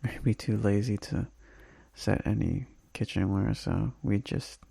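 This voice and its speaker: A man playing a homesick, tender boyfriend in an intimate ASMR roleplay voicemail, speaking softly and breathily with halting pauses and sighs. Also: lowish voice, creaky, husky, no echo, heavily acted. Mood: tender, nostalgic.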